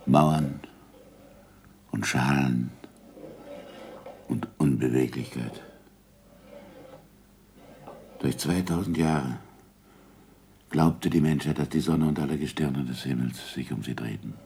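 An actor playing a gruff, impatient older scholar who teaches with deliberate, probing questions in a 1960s German radio drama. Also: male voice, rumbling low, a little mellow, heavily acted. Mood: hushed wonder. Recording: noisy old radio drama recording.

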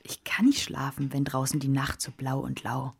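An actress playing a solicitous, dreamy, restless woman of the house in a German radio play, with a breathy voice. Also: very deep voice, level tone, normal speed, heavily acted. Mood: dreamy, longing. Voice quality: breathy, often half-whispering.

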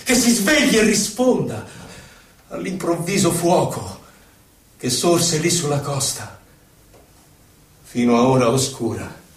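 A man's voice: on the high side, expressive, slow pace, long pauses. A man playing an anguished, impassioned poet, reciting verse in a solemn, declamatory, theatrical way. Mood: defiant. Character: anguished male poet on an inward journey, impassioned. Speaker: stage actor. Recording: very echoey hall, distant microphone.

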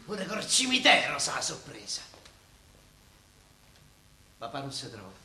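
A man's voice: a little high, huge pitch swings, a little slow, pushed and bright, exaggerated voice. A man acting in a Roman-dialect radio play as a weary, blunt working-class father. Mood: weary, downcast. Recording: roomy stage sound, faint noise.

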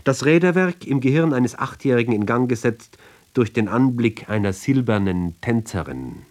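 A man giving a sober, measured literary reading for radio, phrase by phrase with deliberate pauses. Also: mid-range voice, expressive, darkish tone. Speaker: reader of literary ballads.

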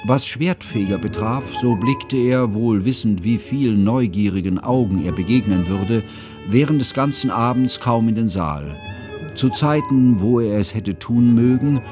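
An actor playing a sad, elderly scholar who narrates in a formal, reflective, literary manner for a radio drama. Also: male voice, on the low side, measured pace, lightly acted. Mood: measured. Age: older. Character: sad, elderly scholarly man recounting a friend's tragedy. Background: music.

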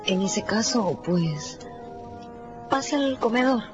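An actress playing a chatty, fussing innkeeper in a radio drama, with a solicitous, theatrical manner. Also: female voice, a little high, expressive, slow. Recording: muffled radio production with music.

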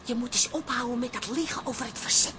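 An actress playing the exasperated, long-suffering daughter of a troublemaker, wry and sceptical, in a radio drama. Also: female voice, on the high side, fast talker, very noisy, natural voice.

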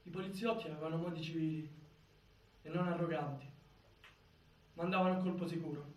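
A man's voice: fairly high pitch, steady tone, a little fast, close to an everyday voice. Man acting a son recalling, from far off. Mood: sober, recollecting. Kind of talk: theatrical monologue. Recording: echoey stage, far from mic.

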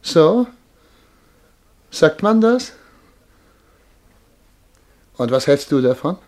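An actor playing a vain, imperious army major in a German radio play, barking suspicious commands and questions. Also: male voice, on the high side, big pitch swings, very slow, darkish tone, exaggerated voice. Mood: probing, indignant.

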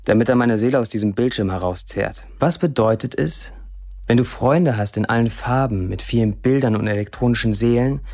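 An actor playing a young, disillusioned Syrian man who delivers a sardonic, deliberate confessional monologue in a radio drama. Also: mid-range voice, a little mellow, natural voice. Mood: bitter, wry.

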